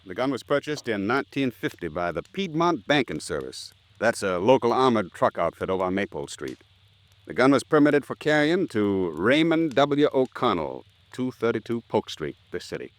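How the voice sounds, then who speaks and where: A man acting a brisk American police records clerk in a 1950s radio drama, reading out details in a businesslike way. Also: medium pitch, very animated, no echo, close to an everyday voice. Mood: matter-of-fact.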